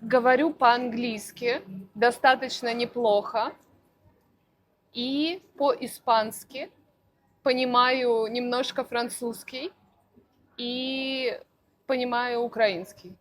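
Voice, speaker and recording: A woman language teacher answering interview questions for learners, speaking slowly and deliberately with careful articulation. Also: fairly high pitch, fairly even intonation, fairly bright tone, rough recording. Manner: slow, deliberate, clearly articulated.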